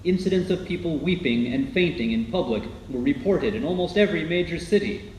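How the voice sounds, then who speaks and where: Man, dramatic scripted narration, slightly high voice, animated, echoey, faint noise. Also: a little fast. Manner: dramatic, announcer-like. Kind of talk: scripted documentary narration for radio.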